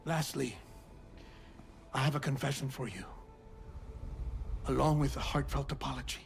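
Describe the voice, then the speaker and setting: An actor playing an earnest, dying doctor in a video game cutscene, confessing in deliberate, formal phrases with pauses. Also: male voice, medium pitch, steady tone, gravelly, over music, close to an everyday voice. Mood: apologetic, solemn. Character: earnest, world-weary male doctor made of transplanted organs, dying. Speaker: doctor and researcher.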